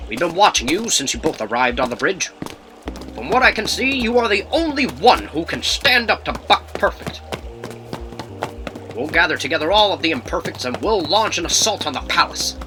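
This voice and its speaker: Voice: mid-range voice, sing-song, moderate pace, over music, lightly acted. An actor voicing a defiant rebel leader bird with an emphatic, declamatory delivery in a comic dub. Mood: resolute. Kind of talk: amateur comic dub acting.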